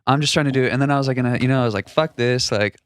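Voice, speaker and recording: A young man whose first language was Russian, a DJ guest telling loose stories in a casual podcast chat and acting out quotes with big jumps in pitch. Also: racing, clean tone, no echo, clean studio sound. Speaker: guest music producer and DJ.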